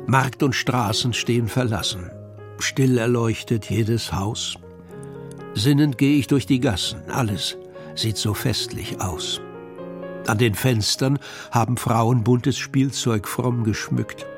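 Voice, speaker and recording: Slow, measured man reciting verse. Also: medium pitch, music in the background. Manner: measured, deliberate storytelling. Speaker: poetry reciter. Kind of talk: expressive poetry recitation for children's radio.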